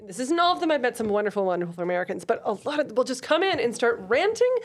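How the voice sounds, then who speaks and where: A woman panelist trades jokes on a comedy podcast. Her voice sits slightly high, and she speaks in an animated, emphatic, chatty way. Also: racing.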